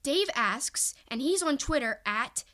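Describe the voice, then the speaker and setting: Woman, high voice, podcast co-host, casual sports talk, opinionated, emphatic fan delivery. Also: expressive, measured pace, pushed and bright.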